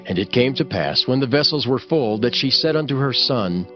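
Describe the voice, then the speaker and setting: A man's voice: mid-range voice, animated, normal speed, muffled recording, soft background music. A man narrating a scripture audiobook in a formal, solemn storytelling manner.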